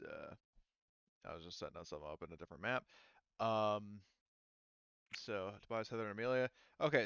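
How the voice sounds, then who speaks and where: A man with a low, smooth voice runs a tabletop roleplaying game as game master. He speaks in a halting, self-muttering way. The recording is dry and close, with a slightly muffled top end. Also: fairly bright tone, dry recording.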